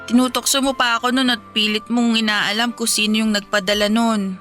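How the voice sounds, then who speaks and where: A woman's voice: on the high side, little pitch movement, brisk, a bit bright, close to an everyday voice. A woman playing a caring young best friend in a radio drama, talking conversationally and scolding fondly. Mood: hesitant, confessing. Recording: faint music behind.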